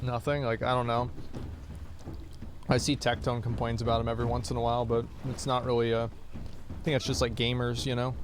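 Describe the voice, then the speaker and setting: A man's voice: medium pitch, flat delivery, brisk, pauses often, background noise. Blunt, rambling young man streamer. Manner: rambling, blunt, reads out and answers chat questions. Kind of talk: casual chat with livestream viewers while gaming.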